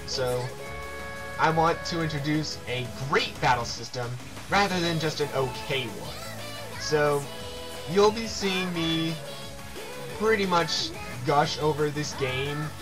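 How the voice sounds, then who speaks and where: A man hosting a video game playthrough with casual commentary. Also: fairly high pitch. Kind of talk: casual let's play commentary.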